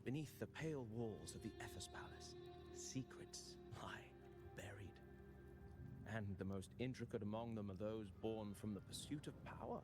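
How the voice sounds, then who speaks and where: An actor playing an eloquent, composed wandering poet in a fantasy game, with ornate, measured, cryptic speech. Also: male voice, on the low side, wildly swooping pitch. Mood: grave, cryptic. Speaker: traveling poet character. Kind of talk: theatrical fantasy game voice acting. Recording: game music behind voice.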